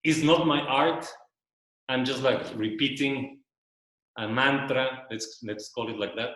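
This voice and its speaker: Man, Spanish first language, slow and searching casual presenter, recorded in a very echoey room. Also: medium pitch, a bit bright. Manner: slow, searching, repeats words while thinking. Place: loft room with a small audience.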